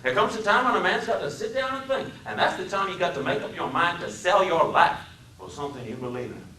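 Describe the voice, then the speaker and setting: A man voicing a hateful young hitchhiker with a Deep South drawl, boastful and quick to shout, in a dramatic reading. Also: reverberant, distant mic, a little hiss or hum, low-quality recording. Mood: zealous. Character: hateful young Southern male hitchhiker, bigoted and hot-tempered.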